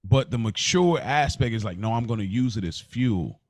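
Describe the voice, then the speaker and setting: A man's voice: on the low side, animated, moderate pace, no room sound. An American man co-hosting a podcast, talking casually and reflectively, often repeating and rephrasing as he explains. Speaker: podcast co-host.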